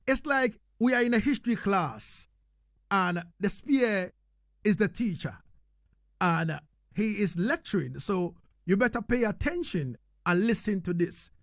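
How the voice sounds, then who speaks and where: A man's voice: sits high, expressive, measured pace, dry recording, clean studio sound. A man hosting a live radio interview, speaking emphatically and directly to his listeners.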